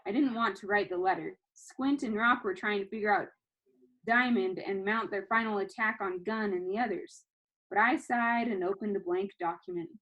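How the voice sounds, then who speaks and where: A woman reading a children's novel aloud in an animated, expressive storytelling manner. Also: mid-range voice, moderate pace, muffled recording. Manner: animated, acts out dialogue.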